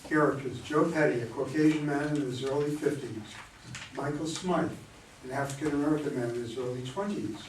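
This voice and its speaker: A man's voice: mid-range voice, brisk. A man reading out a play's cast list and stage directions as scripted narration, at some distance in a roomy space. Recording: roomy, distant mic, faint noise.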